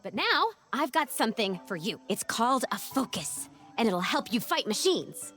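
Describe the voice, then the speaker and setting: A young game heroine, fairly high and very expressive. She is plucky, earnest and a little wry, with music behind her. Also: moderate pace. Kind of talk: animated video game cutscene acting.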